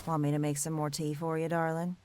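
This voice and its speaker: A woman's voice: deep voice, level tone, fairly quick, mellow, a little hiss or hum, close to an everyday voice. Tender but firm farm mother, played by an actress. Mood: fond. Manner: soothing endearments, firm. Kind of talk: audio drama acting.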